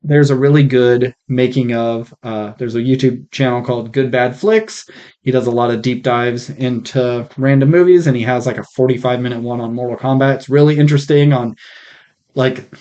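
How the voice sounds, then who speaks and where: A man co-hosting a casual film podcast, speaking in a blunt, opinionated way with casual swearing, recorded on a rough mic. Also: mid-range voice, moderate pace, dark tone, lo-fi sound. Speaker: podcast co-host.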